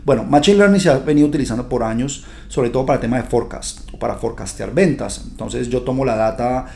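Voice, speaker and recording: A man's voice: mid-range voice, fast talker, vocal fry, mellow, a little hiss or hum. A man hosting a podcast, talking to his listeners in a chatty, upbeat, direct way. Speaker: podcast host. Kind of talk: casual podcast monologue.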